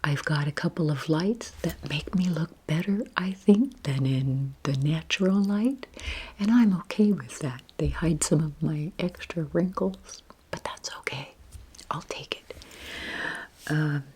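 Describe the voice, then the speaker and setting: Breathy whispering older woman, very slow. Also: deep voice, mellow, rough recording. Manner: unhurried, informal, self-deprecating. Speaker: YouTube video creator. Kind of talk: whispered relaxation ASMR, rambling personal chat.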